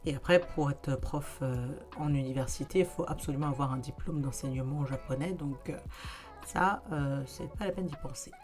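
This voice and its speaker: A woman's voice: rumbling low, moderate pace, a little mellow, soft background music. A young adult woman hosting a casual vlog, explaining things in a chatty way straight to her viewers. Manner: chatty, conversational, addresses viewers directly. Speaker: YouTuber giving practical advice.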